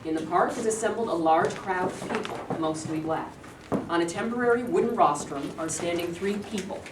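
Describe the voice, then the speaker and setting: A woman's voice: on the low side, moderate pace. A woman narrating the stage directions of a scripted play at a staged reading. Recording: echoing room, distant mic, clear noise.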